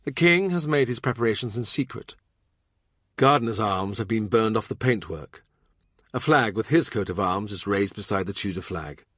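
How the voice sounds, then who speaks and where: A man's voice: fairly low pitch, expressive, normal speed, fairly bright tone, no echo, studio quality. A man narrating a historical novel as a scripted literary audiobook.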